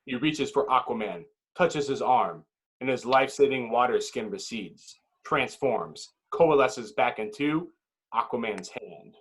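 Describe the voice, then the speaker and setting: A man's voice: medium pitch, expressive, a little slow, fairly bright tone, husky, poor audio. A man reading stage directions as narrator at a table read, in dramatic, clipped phrases.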